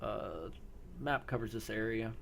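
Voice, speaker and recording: A man running an improvised role-play as game master, thinking aloud in halting phrases. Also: fairly low pitch, fairly bright tone. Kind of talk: improvised narration of an online tabletop-style role-play. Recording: clear background noise.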